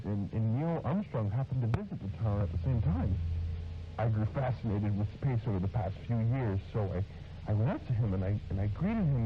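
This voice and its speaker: A man playing a troubled witness in a mock documentary interview, speaking haltingly and repeating his words. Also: medium pitch, natural voice. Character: troubled male witness interviewed about eerie events. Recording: dull lo-fi interview tape under music.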